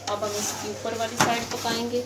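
A woman with a Pakistani Urdu accent, host of a home cooking channel, calmly talking viewers through a recipe step by step, filmed on a phone in her home kitchen. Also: on the high side, moderate pace, very noisy. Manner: calm, repetitive, pointing things out to viewers. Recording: kitchen clatter of dishes and pans.

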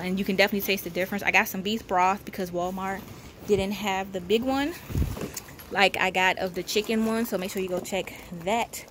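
A woman vlogger narrating a haul casually at home, in a matter-of-fact, halting way as she lists items. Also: mid-range voice, noisy background. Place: indoors at home, carpeted room.

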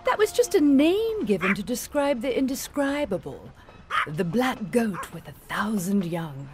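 Eccentric old widow in a radio play, swoopy theatrical storyteller, noisy background. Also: female voice, medium pitch, sing-song, unhurried, a little mellow. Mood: ominous. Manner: theatrical storytelling, grandiose diction. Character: chatty eccentric older widow, folklore researcher, doting dog owner. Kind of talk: audio drama acting.

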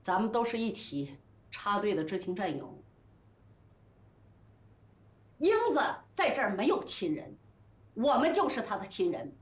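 A woman's voice: medium pitch, sing-song, normal speed, close to an everyday voice. An older woman acting in a TV drama as a bossy, fiercely protective family friend, scolding and giving emphatic orders. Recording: echoey room, faint background music.

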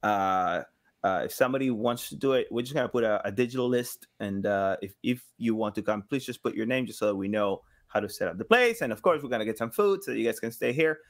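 A man in his thirties chatting casually as a podcast guest, thinking aloud haltingly and voicing imagined quotes. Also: medium pitch, expressive. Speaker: podcast guest, game sound designer. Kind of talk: casual interview chat. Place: small home room with slanted ceiling, indoors.

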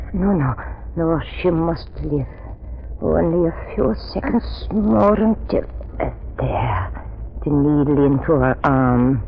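On a dull, noisy 1930s radio recording, an old doctor with a high voice. He is fervent and anxious, speaking in trembling, halting bursts of theatrical drama. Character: elderly, anxious, obsessive male scientist doctor, trembling and fervent.

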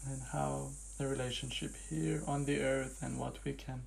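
A man facilitating a hypnotic healing session, giving gentle instructions and asking questions. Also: mid-range voice, flat delivery, normal speed, creaky, slight background noise.

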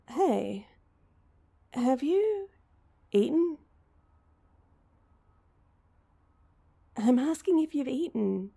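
Woman performing heartbroken young woman, very soft dark tone, creaky voice, hesitant, self-deprecating, intimate audio roleplay. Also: on the high side, full character voice. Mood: tentative, hopeful. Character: heartbroken, awkward young woman stood up on anniversary date.

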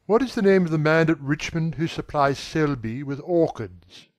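Guy doing a posh young gentleman, fast and bossy, voice pitched up a bit, storybook reading. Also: lively intonation, no echo, studio quality, close to an everyday voice. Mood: brisk, commanding. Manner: rapid, authoritative. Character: young gentleman, commanding yet anguished.

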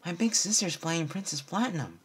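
A man voicing an eager, excitable little girl pony for a story reading. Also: fairly high pitch, brisk, husky, voice pushed up, heavily acted. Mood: excited.

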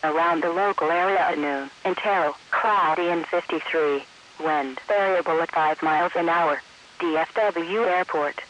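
A synthetic man's voice reading an automated weather report in measured, clipped phrases. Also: a little high, pushed and bright, rough recording. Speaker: weather radio announcer. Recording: hissy weather radio broadcast. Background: faint radio hiss.

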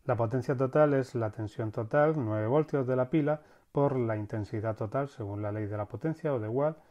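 A man's voice: medium pitch, moderate pace, dark tone, muffled recording. A man teaching electrical circuits step by step, speaking over a screen recording with some fillers and restarts. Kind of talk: screen-recorded technical lesson.

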